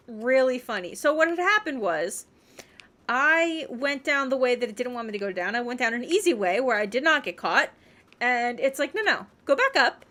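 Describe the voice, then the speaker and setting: A woman's voice: high voice, a bit bright. A woman livestreamer giving casual gameplay commentary, muttering questions and reactions to herself as she plays. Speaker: video game streamer.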